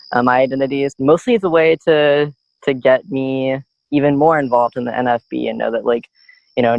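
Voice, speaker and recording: Gravelly young man, earnest and reflective. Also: mid-range voice, no echo, highs cut off. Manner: earnest, reflective, with frequent "like" and "you know" fillers. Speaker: student guest. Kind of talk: candid personal storytelling.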